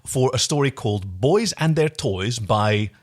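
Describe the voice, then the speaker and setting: A man's voice: mid-range voice, lively intonation, a little slow. A man co-hosting a podcast in casual chat, introducing and reading fan fiction. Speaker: podcast co-host.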